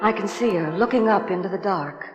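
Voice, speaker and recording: A woman's voice: medium pitch, brisk, muffled recording, lightly acted. An actress playing an anxious, exhausted young woman, narrating her nightmare dramatically in 1950s suspense radio style. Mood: haunted, dread. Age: young adult. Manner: dramatic, dreamy first-person narration. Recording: 1950s radio broadcast, long reverb, music behind.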